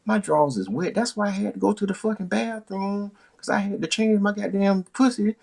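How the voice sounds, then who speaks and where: A man voicing a loud, impatient young woman who is profane, commanding and demanding, in a comic personal anecdote. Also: high voice, brisk, creaky, pitched above the performer's own voice. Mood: indignant, demanding.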